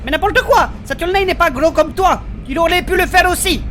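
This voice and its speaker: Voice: extremely high pitch, brisk. An actor playing a small, cowardly, boastful gnome who whines and protests in broken third person in a comic fantasy audio drama. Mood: boastful, indignant. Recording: loud train noise and clamour behind.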